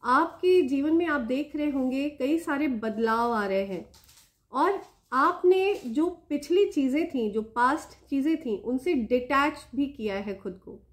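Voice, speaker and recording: A woman with an Indian accent and a slightly hoarse throat, hosting a spiritual reading and speaking directly to her viewers with gentle blessings. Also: high voice, expressive, measured pace. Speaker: spiritual reader and channel host. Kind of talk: spiritual reading addressed to viewers, Hindi mixed with English.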